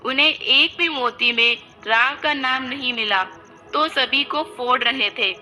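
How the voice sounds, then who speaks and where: A woman's voice: high-pitched, slow, pushed and bright, faint music behind. A woman narrating a scripted story as a storyteller.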